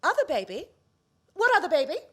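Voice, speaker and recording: A woman's voice: high voice, wildly swooping pitch, brisk. A woman playing an indignant, vengeful wife in a farcical radio play, declaiming theatrically with many exclamations. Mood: scandalised. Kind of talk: stage farce performed as radio play.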